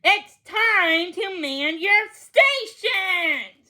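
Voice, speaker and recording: A woman's voice: very high voice, animated, very slow, bright, forceful tone, pitched above the performer's own voice, exaggerated voice. A woman voicing a friendly, upbeat man who runs a kitchen, encouraging and enthusiastic, in a children's storybook. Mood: jolly directing.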